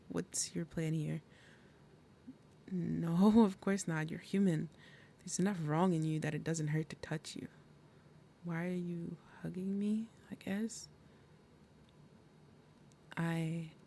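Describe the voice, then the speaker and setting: A woman playing a fierce, protective demon mother and princess of wrath in an ASMR roleplay, with dramatic, breathy, menacing delivery. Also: fairly low pitch, warm tone, slight background noise. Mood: baffled, sardonic.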